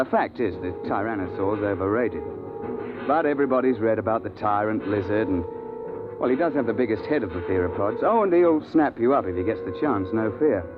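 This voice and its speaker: An actor with a British accent playing a stern, practical safari guide in clipped, authoritative 1950s radio style. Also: male voice, fairly low pitch, lightly acted. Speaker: narrator and lead actor. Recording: tinny band-limited vintage radio, music behind.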